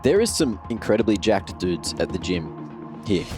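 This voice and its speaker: A man's voice: low-pitched, big pitch swings, moderate pace, music in the background. Playful male esports caster over game sound. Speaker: esports commentator. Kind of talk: esports play-by-play commentary.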